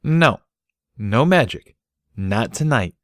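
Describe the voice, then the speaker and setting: A man's voice: mid-range voice, expressive, slow pace, no echo, clean studio sound, pitched above the performer's own voice. A man voicing a firm, commanding mother pony in a storybook reading, with clipped delivery.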